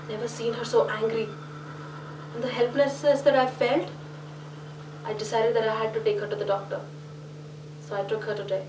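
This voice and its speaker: A roomy, slightly distant recording with faint noise. A woman acts a troubled, well-to-do Indian mother in a film monologue. She speaks haltingly and emotionally, confiding her distress. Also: on the high side, lightly acted. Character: distraught upper-middle-class mother of a little girl. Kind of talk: dramatic monologue in a short film.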